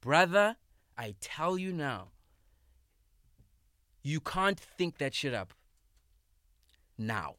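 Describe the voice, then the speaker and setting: Thirties man on a studio mic, lively and emphatic podcast guest, slightly raised pitch, very dry sound. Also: drawn-out words, long pauses, dry recording. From South Africa. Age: 30s. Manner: animated, emphatic, swears freely, repeats words. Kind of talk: casual podcast conversation. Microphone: studio microphone.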